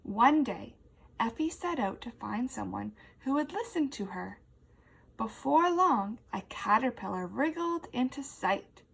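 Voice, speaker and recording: A woman's voice: a little high, lively intonation, measured pace, vocal fry, a bit bright, husky. A woman teacher reading a children's story aloud expressively and asking young listeners questions.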